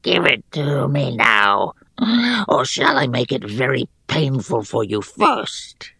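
Cruel goblin warlord, fantasy audiobook. Gravelly, wheezy, slow and sneering, every phrase a threat. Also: male voice, medium pitch, sing-song, sharp tone, no echo, studio quality, pitched above the performer's own voice, full character voice. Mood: menacing demand. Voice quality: wheezing, rasping. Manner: menacing, mocking, drawn-out words. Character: cruel, sneering male warrior goblin leader, huge.

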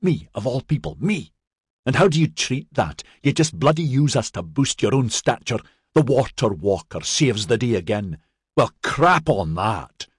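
A man's voice: lowish voice, big pitch swings, normal speed, husky, no echo, clean studio sound. A man voicing an embittered, hot-tempered young male constable in an audiobook, with a harsh, sarcastic, biting manner. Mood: furious, bitter.

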